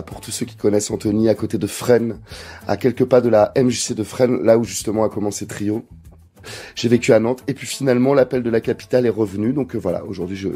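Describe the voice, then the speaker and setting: A man with a fairly low, warm, slightly hoarse voice tells his story in a relaxed way. Soft music plays in the background. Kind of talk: casual interview answer.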